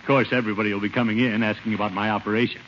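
An actor playing a pompous, jovial, chuckling middle-aged uncle in a 1950s radio comedy. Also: male voice, lowish voice, steady tone, very fast, a bit bright, lightly acted. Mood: self-satisfied, jovial. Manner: blustering, chuckling, boastful. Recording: muffled, noisy old radio recording.

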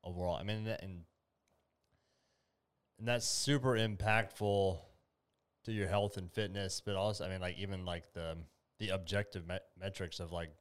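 A man interviewing a gym member in a relaxed, friendly way, explaining things with frequent restarts. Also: low voice, normal speed, long pauses, clear, resonant tone. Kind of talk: casual interview.

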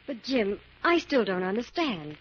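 A woman's voice: mid-range voice, sing-song, unhurried, husky, soft background music. A woman playing a detective's curious partner in a 1940s radio mystery. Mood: puzzled. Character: curious female detective's partner, puzzled and eager. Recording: muffled 1940s radio recording.

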